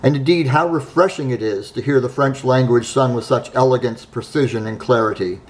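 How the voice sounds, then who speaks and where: A man hosting a show, reading a scripted narration in a measured, admiring way. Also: mid-range voice. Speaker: host.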